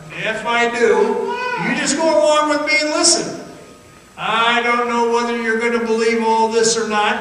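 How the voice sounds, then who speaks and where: Male actor as haunted young man, high voice, jittery, insistent, radio drama, big echoing hall. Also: pushed and bright, heavily acted. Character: earnest, haunted young man recalling being lost underground. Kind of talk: radio theatre dramatic monologue. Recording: echoey hall, distant, faint noise.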